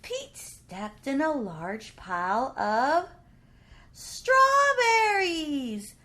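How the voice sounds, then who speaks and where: Woman, children's story reader, high-pitched, lively swooping intonation, exaggerated delivery for preschoolers. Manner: exaggerated, drawn-out delivery with questions to young listeners. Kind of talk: animated read-aloud for preschool children.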